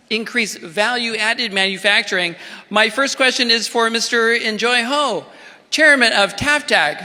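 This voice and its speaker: Very high-voiced formal male emcee. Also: sharp tone. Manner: measured, formal and polite. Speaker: panel emcee. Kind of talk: formal event introductions. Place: large conference hall.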